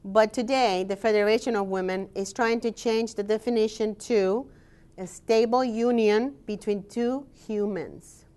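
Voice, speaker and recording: A woman scholar and researcher giving a presentation, explaining in a measured way with frequent "um" pauses. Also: medium pitch, a little mellow. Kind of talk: presentation talk with slides.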